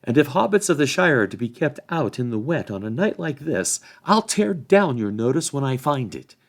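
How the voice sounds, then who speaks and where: A man's voice: mid-range voice, big pitch swings, normal speed, pitched above the performer's own voice. A man voicing a stout-hearted, blunt rustic hobbit in a storybook reading. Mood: defiant. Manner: blunt, defiant.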